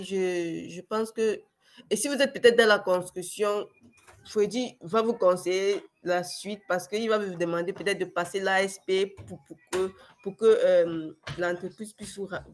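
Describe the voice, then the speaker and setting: A young adult woman with a cold, speaking hesitantly as a guest on a video call webinar, with many fillers and restarts. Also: lowish voice. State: sick with a cold, voice affected. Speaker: webinar guest, former client. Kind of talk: casual testimonial on a video call.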